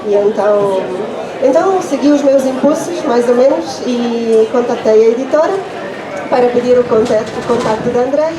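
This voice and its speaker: Young woman, non-native speaker, hesitant thoughtful talk, fairly high voice, echoey space, far from mic, loud crowd behind. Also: normal speed. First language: not Portuguese. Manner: hesitant, thoughtful, many restarts and fillers. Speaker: translator and book launch speaker. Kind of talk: unscripted talk at a book launch. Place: book fair in a public space.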